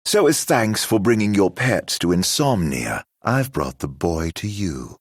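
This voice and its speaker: A man voicing a mocking, silver-tongued video game villain, with a raspy, drawling, flamboyant delivery and a show of courtesy over cruelty. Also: lowish voice, big pitch swings, unhurried, no room sound, studio quality, heavily acted. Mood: smooth menace. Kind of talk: theatrical video game voice acting.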